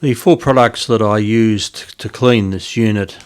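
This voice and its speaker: Aussie guy, middle aged, lowish voice, talking thru a hobby project kinda slowly feeling for words. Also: clean tone. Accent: Australian. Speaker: hobbyist presenter. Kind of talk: informal narrated restoration walkthrough.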